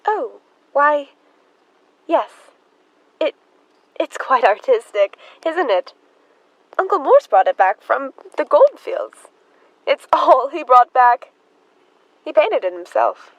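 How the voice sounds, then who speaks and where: A woman's voice: high voice, big pitch swings, slow, long pauses, no room sound, lo-fi sound, exaggerated voice. A young woman acting a nervous, fretful, genteel spinster in an audio stage play, flustered and easily agitated. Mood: excited, polite. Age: young adult.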